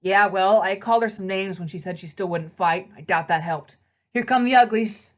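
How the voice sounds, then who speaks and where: A woman's voice: mid-range voice, moderate pace, close to an everyday voice. A woman voicing a scrappy, flippant teenage boy archer in a storybook, talking in an offhand, slangy way. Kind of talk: children's novel read-aloud character voice.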